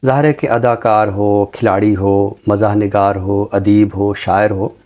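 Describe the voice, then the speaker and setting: A man speaking as an academic guest in a discussion, formal and deliberate, pausing between phrases. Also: lowish voice, steady tone, a little mellow. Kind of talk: measured studio discussion.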